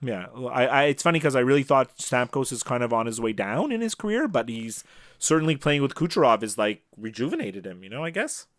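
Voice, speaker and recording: Male sports podcast co-host, average pitch, casual conversational delivery, dry close-mic sound. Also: sing-song, brisk, dry recording.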